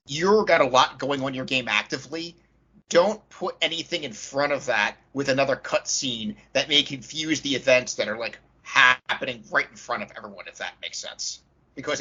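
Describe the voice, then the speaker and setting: A man's voice: medium pitch, pushed and bright, husky. A man co-hosting a casual tabletop gaming podcast in conversational discussion. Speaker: podcast co-host. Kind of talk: casual panel discussion on tabletop role-playing. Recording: dull, band-limited audio.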